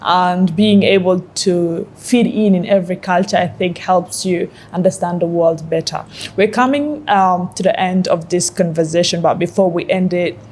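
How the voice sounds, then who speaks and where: Slightly low-voiced woman hosting. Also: normal speed, few pauses, a little mellow, a little hiss or hum. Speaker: interview host. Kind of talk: conversational interviewing.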